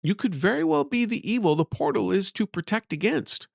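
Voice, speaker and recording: A man's voice: sits high, sing-song, brisk, gravelly, dry recording, clean studio sound, voice pushed up, heavily acted. A man voicing a wary, demanding young adventurer nephew in a fantasy storybook.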